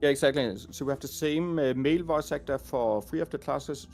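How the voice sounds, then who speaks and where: A man working as a game audio designer, talking casually and haltingly while showing a game. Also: mid-range voice, creaky, a little mellow, faint music behind. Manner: hesitant, many fillers and restarts.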